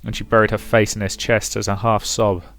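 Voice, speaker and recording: A man's voice: on the low side, level tone, brisk, fairly bright tone. A man commentating on and reading aloud from a visual novel as a let's play host.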